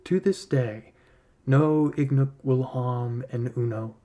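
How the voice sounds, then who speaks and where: A man reading a literary story aloud as a narrator, in a measured, solemn storytelling manner. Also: mid-range voice, loud music behind the voice. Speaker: podcast host reading a short story aloud.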